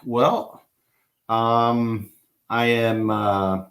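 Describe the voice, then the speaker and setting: A middle-aged man from the East Coast of the United States, telling his career story in an online interview, unhurried and halting with many fillers and restarts. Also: mid-range voice, little pitch movement, creaky, a bit bright. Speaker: interview guest. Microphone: video call.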